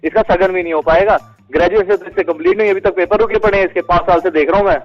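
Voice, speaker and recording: A young man with a very high voice, arguing on the phone. He protests indignantly and repeats his points, through a muffled line with music playing underneath. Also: fairly even intonation, racing. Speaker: prank call victim. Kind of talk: heated phone argument.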